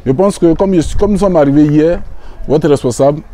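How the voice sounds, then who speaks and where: Dark-voiced Ivorian man giving an earnest speech. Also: a little high. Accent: Ivorian French. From Côte d'Ivoire. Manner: earnest, repeats phrases, halting restarts. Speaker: visiting association official. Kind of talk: emotional outdoor speech to a community. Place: outdoor urban neighborhood gathering.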